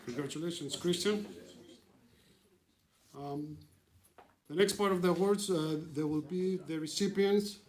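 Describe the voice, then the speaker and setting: A man's voice: on the high side, measured pace, long pauses, crowd noise, rough recording. A man emceeing an awards ceremony, hesitant and full of fillers. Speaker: event emcee.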